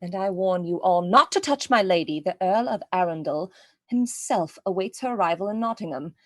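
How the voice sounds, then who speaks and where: A woman's voice: lowish voice, lively intonation, moderate pace, no echo, lightly acted. An actress playing a haughty, protective lady's maid in a radio play, indignant and threatening.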